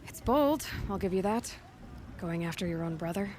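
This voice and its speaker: An actress playing a determined young huntress in a video game, speaking in a dry, direct, conversational way. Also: female voice, on the low side, expressive, moderate pace, darkish tone, a little hiss or hum, lightly acted. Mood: wry. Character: plain-spoken, determined, wry young huntress. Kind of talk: video game cutscene acting.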